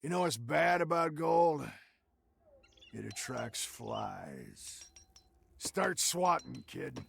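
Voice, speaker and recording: Gravelly, smug sheriff. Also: male voice, mid-range voice, big pitch swings, extremely slow, fairly bright tone, full character voice. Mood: commanding. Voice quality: gravelly, creaky. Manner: sardonic, folksy swagger. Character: smug, sneering Old West frontier sheriff, greedy schemer. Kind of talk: dramatic video game cutscene acting.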